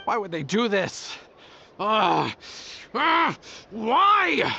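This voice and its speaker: A man's voice: high voice, wildly swooping pitch, drawn-out words, creaky, bright, forceful tone, slight background noise, exaggerated voice. A man playing a protective, distraught young man in an anime game, earnest and emphatic. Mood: worried. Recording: slightly dull game capture with music.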